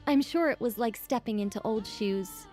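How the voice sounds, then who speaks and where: A woman's voice: a little high, normal speed, darkish tone, faint music behind, close to an everyday voice. A woman voicing a self-assured, breezily teasing female writer in a video game, airily explaining and coaxing. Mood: breezy, coaxing. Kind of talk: English video game voice acting.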